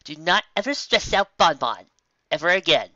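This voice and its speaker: A man's voice: on the high side, very animated, normal speed, bright, forceful tone, no echo, lo-fi sound, voice pushed up, exaggerated voice. A man voicing a bossy, exasperated young female unicorn magician with a sarcastic, impatient manner in a story.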